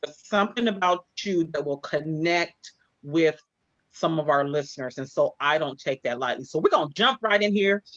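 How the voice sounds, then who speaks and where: A woman hosting an online interview show, warm and encouraging, who calls her guest "sis". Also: deep voice, normal speed, no room sound. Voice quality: speaks from personal experience of hair loss. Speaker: host. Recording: dry, muffled sound.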